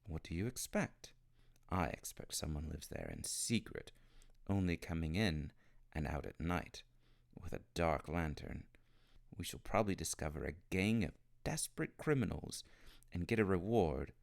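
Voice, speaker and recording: A man's voice: sits low, lively intonation, moderate pace, creaky, no echo, clean studio sound. A man voicing an eager, bossy and imaginative schoolboy in a storybook reading. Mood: excited, scornful.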